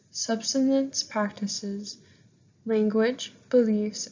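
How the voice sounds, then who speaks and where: A woman's voice: a little high, a little mellow, dull audio. A young adult woman with a Canadian accent reading a scripted presentation aloud as a student presenter.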